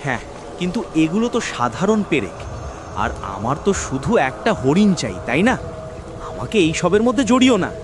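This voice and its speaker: A man voicing a simple, honest, excitable blacksmith in a children's cartoon, with expressive delivery. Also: fairly high pitch, moderate pace, noisy background. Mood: doubtful. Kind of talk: dubbed children's cartoon dialogue. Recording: music bed behind the voice.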